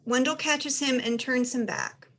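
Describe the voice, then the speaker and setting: A woman's voice: high voice, measured pace, a bit bright. A woman reading stage directions as narrator for a dramatized script reading, in scripted narration.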